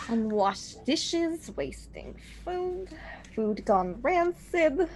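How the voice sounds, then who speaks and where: A woman's voice: high voice, sing-song, very slow, a little hiss or hum. A woman making casual in-game remarks as a player in a tabletop roleplaying game.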